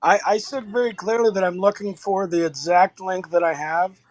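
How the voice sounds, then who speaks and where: A man arguing in a heated online audio room, hurried and stumbling over restarts. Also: high voice, steady tone, a little mellow, dry recording, poor audio. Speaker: debate participant.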